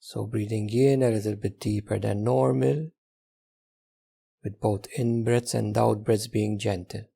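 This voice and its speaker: A man guides a meditation with gentle, unhurried instructions. His voice is husky and dark, with a gravelly creak at the edges. He leaves long pauses between short phrases. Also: on the low side, fairly even intonation.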